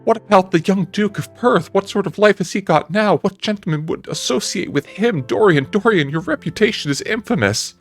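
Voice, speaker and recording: An earnest, anguished gentleman painter, as performed by a man in an audiobook. His voice sits fairly high and he pleads and preaches with emphatic feeling. Music plays behind him. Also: lively intonation, normal speed, hardly any pauses, husky, full character voice. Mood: accusing, distressed. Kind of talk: dramatic audiobook character reading.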